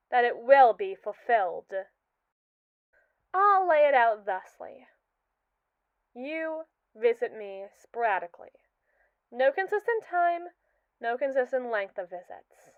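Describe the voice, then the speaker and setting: A cold, precise android with a secret obsession, voiced by a woman in an ASMR roleplay. The voice is smooth and somewhat high, with formal, condescending phrasing. The recording is dry and tinny, as if heard over a phone line. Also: no echo.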